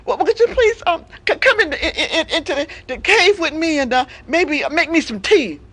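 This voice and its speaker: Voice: high-pitched, lively intonation, moderate pace, sharp tone, pitched above the performer's own voice. A storyteller voicing an old, scheming male lion who fakes being sick to trick other animals. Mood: fake whining. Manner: sly, then whining about aches.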